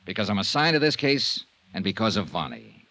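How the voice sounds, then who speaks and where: An actor playing a tough, impatient insurance investigator in a 1950s radio drama, clipped, wry and blunt. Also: male voice, lowish voice, huge pitch swings, fairly quick, bright, forceful tone, dry recording, full character voice. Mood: impatient, exasperated. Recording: vintage 1950s radio recording.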